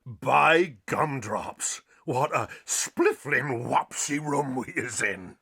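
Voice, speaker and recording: A man's voice: mid-range voice, lively intonation, takes it slowly, gravelly, fairly bright tone, breathy edge, full character voice. An actor playing a big, friendly, childlike old giant who muddles his grammar and invents words, in a dramatized children's audiobook. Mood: merry, eager.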